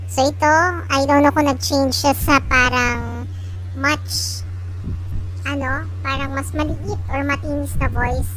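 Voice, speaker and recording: Chipmunk-like Filipino gadget reviewer a woman through voice changer, high and squeaky, chatty, clear background noise. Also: steady tone, slow, fairly bright tone. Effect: voice changer raising the pitch. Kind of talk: casual gadget demonstration. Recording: electronically altered, clearly noisy room.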